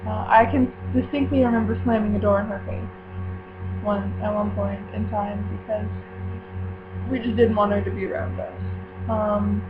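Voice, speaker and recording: A woman's voice: mid-range voice, normal speed. Rambling teenage girl, tinny with hum. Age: teens. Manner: rambling, many fillers and self-corrections. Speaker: vlogger running a book club. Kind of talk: casual rambling chat. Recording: tinny lo-fi with steady mains hum.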